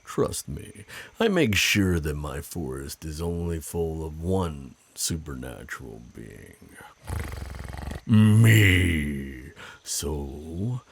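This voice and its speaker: A man playing a burly, territorial werewolf in an ASMR roleplay, menacing and teasing, with a deep, gravelly, husky voice and a slow, taunting drawl. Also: very animated, a little hiss or hum, full character voice. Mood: threatening.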